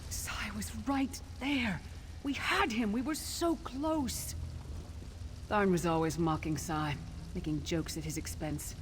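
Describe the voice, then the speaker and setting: An actress playing an earnest, somber, loyal warrior companion in a fantasy video game, speaking in a serious, measured way. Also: female voice, mid-range voice, lightly acted. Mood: regretful. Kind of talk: video game voice acting, story dialogue. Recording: clear background game noise.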